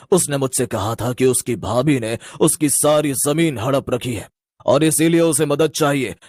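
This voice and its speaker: A man voices a stern tantric sorcerer in an animated horror tale. He is emphatic and admonishing, with a medium-pitched voice in a dry, echo-free recording. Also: lightly acted. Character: stern tantric sorcerer who keeps captive ghosts. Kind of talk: animated horror story dialogue.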